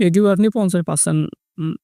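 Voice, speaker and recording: High-pitched, fast-talking man. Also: steady tone, creaky, dark tone, no room sound, studio quality. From Bangladesh. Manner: repetitive instructional phrasing. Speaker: tech tutorial host. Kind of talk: step-by-step screen tutorial narration.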